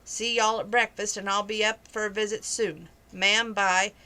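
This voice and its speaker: A woman's voice: medium pitch, steady tone, moderate pace, pushed and bright, clean tone, natural voice. A woman reading aloud, voicing a kind young cowboy in a storybook. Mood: easygoing.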